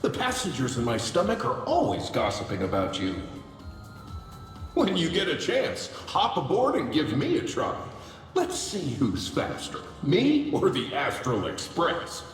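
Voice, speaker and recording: Wildly swooping, jolly living train creature. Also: male voice, a little high, wildly swooping pitch. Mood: jolly, boastful. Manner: chuckling, showy, drawn-out delivery. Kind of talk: animated video game character dialogue. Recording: echoey hall reverb over music.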